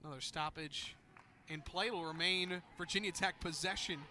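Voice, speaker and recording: A man's voice: sits high, fairly even intonation, moderate pace, bright, forceful tone. An American man doing live play-by-play sports commentary, with the sound of an outdoor game behind him. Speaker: play-by-play announcer. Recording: clear outdoor stadium noise behind. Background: outdoor ambience of a game.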